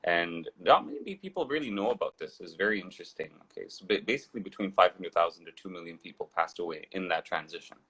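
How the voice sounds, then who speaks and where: Deep-voiced man, rambling storyteller. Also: expressive, quick pace, hardly any pauses, pushed and bright, husky, poor audio. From Dubai and India. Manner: digressive, rambling with frequent restarts. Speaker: guest. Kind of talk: casual storytelling interview.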